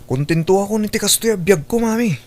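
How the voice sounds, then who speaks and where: A man's voice: high-pitched, quick pace, darkish tone, close to an everyday voice. A man playing a defiant, lazy college-age son in a radio drama, insolent and dismissive. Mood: stubborn, nonchalant. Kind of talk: Ilocano radio drama acting.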